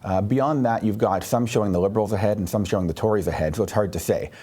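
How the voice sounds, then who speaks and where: A man, a political columnist, giving quick, hedging analytical answers with frequent fillers, on a studio mic. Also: on the low side, dark tone, breathy edge. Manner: rapid, hedging, many fillers.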